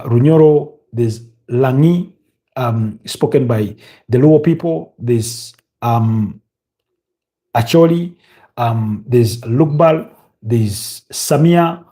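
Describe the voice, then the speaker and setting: A man with a Ugandan accent hosts a casual live football update and chats with viewers, talking off the cuff, with frequent fillers and pauses to recall names. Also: mid-range voice, mellow. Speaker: host of a football news livestream. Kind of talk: casual live commentary reading viewer comments.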